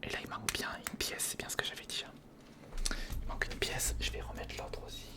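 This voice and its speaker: An ASMR creator whispering informal, step-by-step commentary to the viewer while building something by hand, close to the microphone. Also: brisk. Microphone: close recorder or studio microphone.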